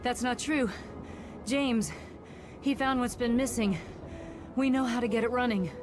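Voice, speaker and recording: Distraught woman scientist, acted, high-pitched voice. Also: unhurried, close to an everyday voice. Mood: insistent. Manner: urgent, emotional, faltering. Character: distraught, weary woman scientist pleading for action. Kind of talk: dramatic video game acting. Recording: music behind the voice.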